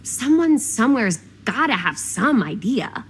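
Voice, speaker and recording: A woman's voice: a little high, very animated, unhurried, slightly rough, exaggerated voice. An actress playing a dramatic, sarcastic and inquisitive young American woman in a video game, talking in casual slang with a theatrical edge. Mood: curious. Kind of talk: naturalistic video game acting.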